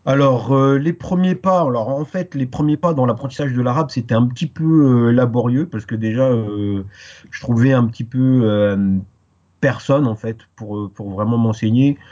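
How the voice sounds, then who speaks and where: Hesitant French man with a dark voice. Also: mid-range voice, muffled recording. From France. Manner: hesitant, many pauses and fillers. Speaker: interview guest. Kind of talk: casual interview.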